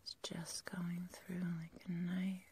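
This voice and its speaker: A woman's voice: on the low side, monotone, a little mellow, a little hiss or hum. A woman playing an obsessive, lovestruck yandere girl kidnapper in a whispered, intimate, possessive ASMR roleplay. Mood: adoring. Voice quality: breathy, whispery. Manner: slow, intimate, halting, possessive.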